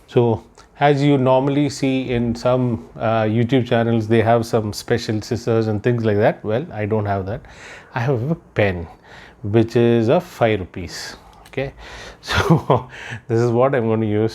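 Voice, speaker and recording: A man's voice: mid-range voice, fairly even intonation, measured pace, darkish tone. A man doing a casual unboxing vlog indoors, thinking aloud with lots of fillers as he handles the product. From India. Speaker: unboxing vlogger. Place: indoors, room with patterned floor rug.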